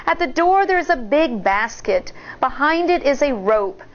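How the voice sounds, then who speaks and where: A woman acts out a clever young girl from a storybook. The voice is pitched high and sing-song, sweetly coaxing with a pretence of innocence. The recording is a little muffled. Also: lively intonation, a little slow. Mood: eager, sly.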